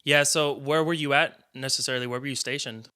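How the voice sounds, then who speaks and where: Bright, clear-voiced American man interviewing. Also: mid-range voice, fairly even intonation, brisk. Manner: informal, conversational, frequent "like" and "man". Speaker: interviewer, host. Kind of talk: casual interview chat.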